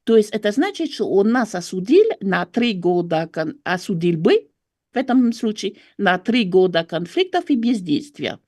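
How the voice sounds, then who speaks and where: A woman's voice: medium pitch, big pitch swings, normal speed, a little mellow, no echo, clean studio sound. A woman from France with a French accent gives political analysis as an interview guest, searching for words and correcting herself. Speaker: political commentator and writer.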